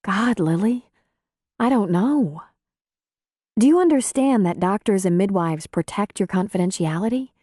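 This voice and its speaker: Audiobook role: female narrator as caring midwife; soft mellow tone, level-headed, gently firm, dry studio sound. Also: mid-range voice, animated, measured pace, dry recording. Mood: earnest. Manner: gentle, concerned, earnest. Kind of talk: audiobook character dialogue.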